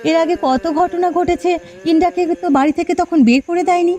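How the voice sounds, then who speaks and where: Mellow, caring cartoon housewife played by a woman. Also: very high voice, steady tone, fast talker. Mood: worried, determined. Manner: coaxing, gentle. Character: caring, determined housewife comforting her husband. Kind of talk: cartoon voice acting. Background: faint music.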